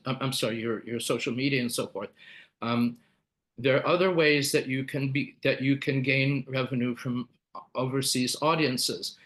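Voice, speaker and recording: A man's voice: mid-range voice, fairly bright tone. Man explaining in a webinar. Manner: explanatory, many fillers and restarts. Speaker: webinar presenter. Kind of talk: live webinar talk.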